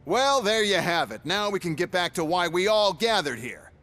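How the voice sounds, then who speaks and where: A man's voice: high voice, sing-song, moderate pace, fairly bright tone. An actor playing a brash, irritable robot salesman in a video game, sarcastic, dismissive and impatient. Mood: showy.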